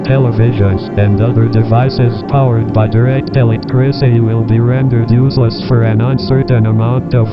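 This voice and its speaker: A man reading a formal, scripted emergency alert as a broadcast announcer, on a dull lo-fi recording. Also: mid-range voice. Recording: dull lo-fi broadcast, loud music. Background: loud music.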